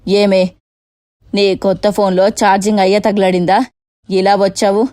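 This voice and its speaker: A woman voicing a suspicious, bossy middle-aged mother-in-law in a cartoon moral story, with colloquial, sharp-tongued speech. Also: mid-range voice, little pitch movement, moderate pace, dry recording, clean studio sound, natural voice. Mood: scolding.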